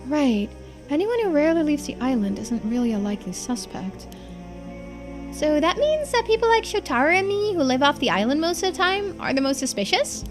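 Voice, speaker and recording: A woman's voice: sits high, very animated, brisk, voice pushed up. A woman voicing an earnest, eager youngster in video game dialogue, emphatic and emotionally open. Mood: curious, eager. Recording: game music behind the voice.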